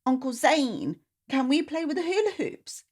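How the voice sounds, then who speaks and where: Upset little kid from a storybook done by a woman, high and pleading, really swoopy. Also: very animated, moderate pace, darkish tone. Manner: pleading, childlike.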